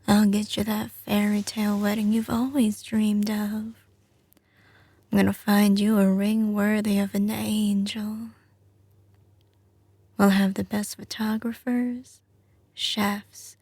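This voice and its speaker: A woman's voice: mid-range voice, darkish tone, slightly rough, no room sound. A woman playing a doting, confident mafia heiress girlfriend in an intimate ASMR roleplay, with a slight Southern US drawl and a tender, assured, often whispery delivery.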